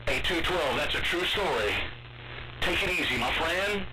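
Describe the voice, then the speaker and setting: Man, CB radio operator, animated pitch, signing off; heavy static on the line. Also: moderate pace. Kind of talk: CB radio chatter.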